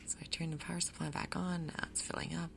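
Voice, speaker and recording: A woman giving soft-spoken ASMR game commentary in a breathy, gentle, unhurried way. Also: low voice, flat delivery, noisy background. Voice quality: breathy, whispery. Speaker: gaming video host.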